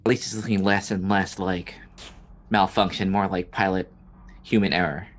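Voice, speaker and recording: Roleplay player, very low pitch, measured and hesitant phrasing, casual game dialogue. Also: level tone.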